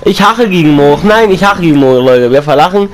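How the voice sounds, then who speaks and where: A young man with a slight cold, chatting casually as he plays and comments on a console game, repeating and rhyming his lines and breaking into excited outbursts. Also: fairly high pitch, a little fast. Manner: excited outbursts while playing. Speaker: gaming YouTuber.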